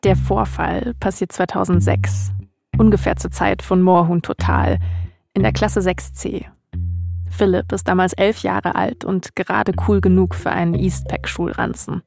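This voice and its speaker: Low-pitched woman narrator with creaky edge. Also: fairly even intonation, measured pace, darkish tone, dry recording, soft background music. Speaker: podcast host and narrator. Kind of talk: scripted podcast narration.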